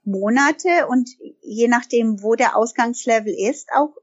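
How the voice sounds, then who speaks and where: A woman's voice: fairly high pitch, measured pace. Emphatic bilingual woman, dull sound. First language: bilingual German and English. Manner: self-correcting, emphatic. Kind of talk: interview answers.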